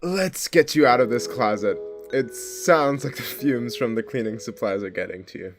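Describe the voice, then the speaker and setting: A man's voice: medium pitch, animated, normal speed, lightly acted. Guy playing shy friendly coworker love interest, mid voice, bashful n hesitant, guitar music under it. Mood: concerned. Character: shy, awkward, friendly coworker in a dating simulator. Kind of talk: audio drama acting.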